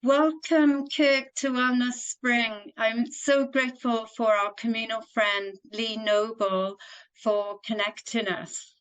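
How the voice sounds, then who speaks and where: A woman's voice: on the high side, fairly even intonation, takes it slowly, a bit bright, clean tone, poor audio. A woman from Wales hosting an interview in an effusive, appreciative manner. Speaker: interview host. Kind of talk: warm scripted welcome and interview questions.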